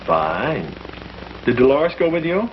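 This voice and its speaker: A man's voice: mid-range voice, sing-song, unhurried. A man playing a priest in a 1950s film, earnest and polite. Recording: muffled old film soundtrack, faint hiss.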